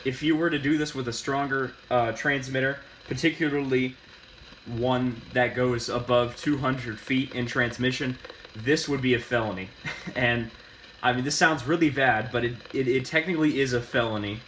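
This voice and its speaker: A man giving a casual, unscripted how-to demonstration, halting and often correcting himself as he talks. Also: medium pitch, steady tone, fairly bright tone, a little hiss or hum, low-quality recording. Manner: halting, self-correcting delivery. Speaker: host of a hobbyist demonstration video.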